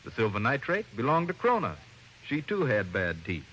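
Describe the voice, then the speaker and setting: A man's voice: mid-range voice, huge pitch swings, moderate pace, a bit bright. An American actor playing a folksy, shrewd small-town lawman in a 1950s radio drama, with sly mock humility. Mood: matter-of-fact. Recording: faintly noisy old radio recording.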